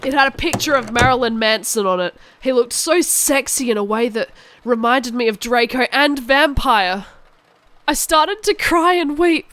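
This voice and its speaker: A woman playing a self-absorbed teenage goth girl, narrating an animated fan fiction in a melodramatic, whiny way. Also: fairly high pitch, no room sound.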